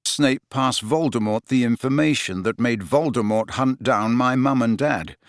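A man's voice: medium pitch, a little slow, no echo, clean studio sound, lightly acted. A man voicing a grim, bitter teenage boy in an audiobook.

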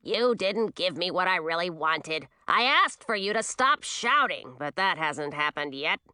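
A woman's voice: mid-range voice, expressive, moderate pace, sharp tone, clean tone, dry recording, studio quality. A woman voicing a grumpy old witch in a storybook, sour and snide, grumbling and muttering her words.

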